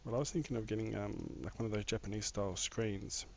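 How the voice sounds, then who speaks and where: A man's voice: lowish voice, fairly even intonation, fast, creaky, darkish tone. A man with a somewhat mixed Australian accent, chatting casually with viewers while he plays a game on a live stream. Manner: rambling, chats with viewers while building. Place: home room. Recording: dull lo-fi mic with faint noise.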